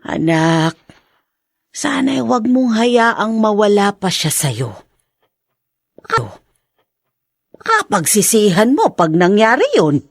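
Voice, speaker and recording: A woman's voice: medium pitch, sing-song, takes it slowly, vocal fry, long pauses, no room sound, clean studio sound, exaggerated voice. An actress playing a patient older mother, earnest and admonishing, in a radio drama. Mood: earnest, pleading. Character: patient older mother counseling her daughter.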